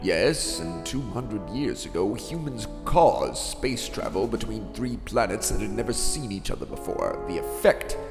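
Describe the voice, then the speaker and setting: A man acts out a weary commander and father in a space radio drama. He speaks low, with earnest authority, in echoing reverb. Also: expressive, a little slow, hardly any pauses. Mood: weary, regretful. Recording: echoing reverb with music behind.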